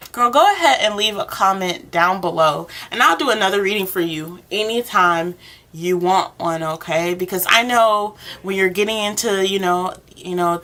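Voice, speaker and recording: Casting: woman as a tarot reader, bright forward voice, chatty and encouraging, talking directly to one client. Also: medium pitch. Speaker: tarot reader, channel host. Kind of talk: role-play tarot reading addressed one-to-one to the viewer.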